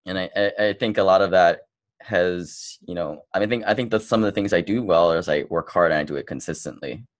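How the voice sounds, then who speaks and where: A man's voice: low-pitched, quick pace, dry recording, studio quality. A man in his thirties hosting a podcast in casual chat, laid-back and full of fillers, a bit tipsy. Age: 30s. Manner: laid-back, uses lots of fillers like "you know". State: tipsy from drinking beer. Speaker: podcast host.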